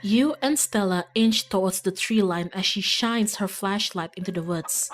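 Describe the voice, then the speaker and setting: A woman streamer playing a visual novel, reading it aloud and reacting in a playful, expressive way. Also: mid-range voice, normal speed, soft background music.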